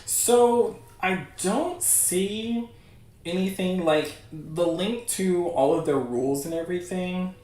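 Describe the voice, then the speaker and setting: A man hosts a livestream in a casual, encouraging, conversational style. His voice sits a little high for a man. The room is very echoey and he sounds set back from the mic. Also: takes it slowly, darkish tone, recorded from a distance. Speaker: livestream host. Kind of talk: casual chat to a livestream audience.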